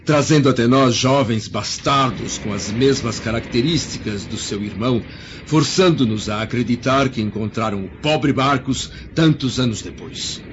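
A man's voice: medium pitch, natural voice. A Brazilian man acting a weary, middle-aged Roman senator and grieving father in a radio drama, speaking formally and solemnly. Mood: sorrowful. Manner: formal, solemn, measured delivery. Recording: dull lo-fi radio drama with faint noise.

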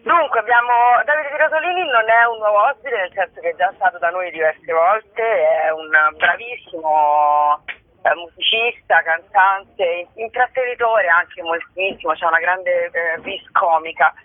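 A woman's voice: on the low side, normal speed. A woman chatting as a guest in a casual interview, often hesitating and searching for words. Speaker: interview guest, performer and writer. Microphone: phone call. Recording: thin, band-limited telephone line.

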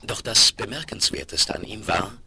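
A man's voice: on the low side, lively intonation, normal speed. A man narrating a Western audio drama in a dramatic, scripted storytelling style. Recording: very noisy 1970s vinyl rip.

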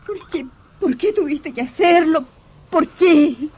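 High-pitched, distraught sister. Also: female voice, slow pace, a little mellow. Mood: distressed. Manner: melodramatic, pleading exclamations. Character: distraught, affectionate sister of a doctor in horror tale. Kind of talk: old radio horror drama acting. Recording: noisy old radio drama recording.

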